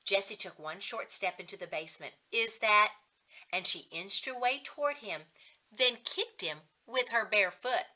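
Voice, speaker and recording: A woman reading a children's story aloud as a reading coach, in expressive narration. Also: medium pitch, normal speed.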